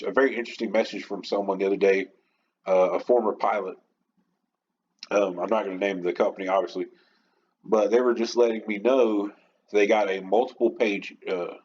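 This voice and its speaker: A man's voice: lowish voice, a little mellow. A man hosting a podcast, talking in an informal, passionate, rambling monologue without a script. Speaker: podcast host. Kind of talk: unscripted rant-style podcast monologue. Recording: dull lo-fi audio with clear background noise.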